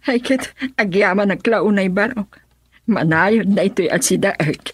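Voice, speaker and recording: A woman's voice: mid-range voice, a little slow, slightly rough, no echo. An actress playing a humble, worried village woman in a Filipino radio drama, speaking with a Filipino accent. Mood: earnest.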